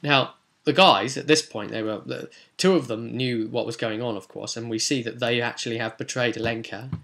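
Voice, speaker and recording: Brief: game master recapping his session, bright, rambling, lots of ums. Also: mid-range voice, fairly even intonation, moderate pace, vocal fry. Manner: rambling, frequent ums and restarts.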